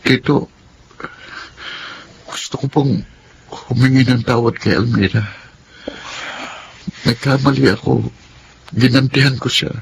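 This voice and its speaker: Sorry young guy, pinoy radio drama, filipino accent, creaky voice, slight background noise. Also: medium pitch, steady tone, unhurried, long pauses, rough recording. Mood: remorseful. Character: remorseful young man seeking forgiveness.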